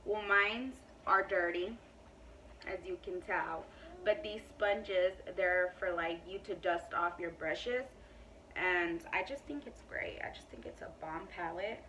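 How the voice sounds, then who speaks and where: A woman's voice: on the low side, takes it slowly, sharp tone, far from the mic, a little hiss or hum, poor audio. A woman in her twenties chatting casually to camera in a beauty Q&A, emphatic and full of "like" and "you know.". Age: 20s. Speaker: beauty vlogger.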